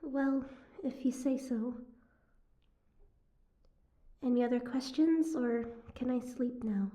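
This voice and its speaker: Woman doing a captive mermaid for audio rp, kinda high but mellow voice, wry n prickly, guarded. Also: measured pace. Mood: prickly, suspicious. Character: sardonic, guarded captive young saltwater mermaid. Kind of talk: audio roleplay acting.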